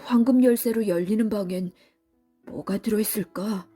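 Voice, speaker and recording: A woman's voice: medium pitch, normal speed, mellow, breathy edge, soft background music, close to an everyday voice. A woman voicing an earnest, lovestruck young prince in a storybook, polite and formal in manner. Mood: curious, wondering.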